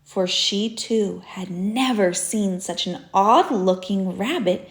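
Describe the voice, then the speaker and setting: A woman reading a children's story aloud in an expressive, deliberate storytelling style. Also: mid-range voice. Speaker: storybook reader, teacher. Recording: roomy echo.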